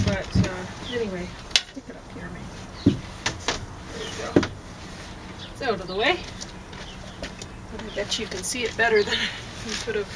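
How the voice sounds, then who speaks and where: A woman's voice: mid-range voice, very noisy. A tired woman chatting casually in a rambling way, then reading her own poem aloud outdoors. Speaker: poet and blogger. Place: outdoor deck.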